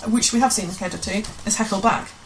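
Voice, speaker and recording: A woman's voice: mid-range voice, quick pace, slight background noise, rough recording. A woman speaking as an interview guest in casual conversation, stressing points by repeating phrases. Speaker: guest, amateur pantomime director.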